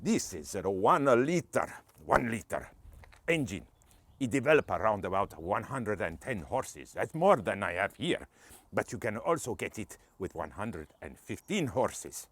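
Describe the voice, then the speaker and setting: A man's voice: on the low side, unhurried, no echo, pitched below the performer's own voice, heavily acted. A man putting on a boastful Spanish car guy. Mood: jokey. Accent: Spanish (put-on). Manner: jokey, broken English, lively swoops.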